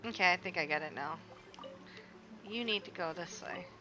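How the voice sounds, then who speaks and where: A woman streaming a game, chatting casually, swearing and reacting loudly. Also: lowish voice, normal speed, over music, poor audio. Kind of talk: casual livestream gameplay chat.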